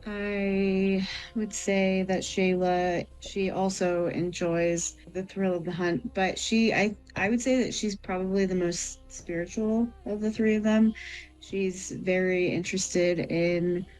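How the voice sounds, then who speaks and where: A woman's voice: medium pitch, fairly even intonation, slow pace, darkish tone, clear, resonant tone, poor audio. A woman playing a tabletop role-playing game, talking casually as she makes up details about her character. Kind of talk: casual improvised character discussion. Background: faint music.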